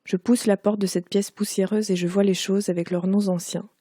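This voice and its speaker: A woman in her forties, raised in Quebec but speaking with a Parisian French accent, reads her own literary prose aloud. Her voice is mellow and warm, at a middle pitch for a woman. The delivery is measured and unhurried, recorded dry with no room echo. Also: level tone. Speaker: author.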